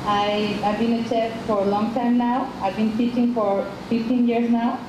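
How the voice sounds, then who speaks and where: A middle-aged woman from Mexico with a Mexican Spanish accent, a chef teaching a class in English over a video call, halting and restarting her sentences. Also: fairly high pitch, steady tone, noisy background. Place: cooking school kitchen, heard through classroom speakers. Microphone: computer webcam mic. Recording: echoey laptop-speaker audio replayed in a classroom.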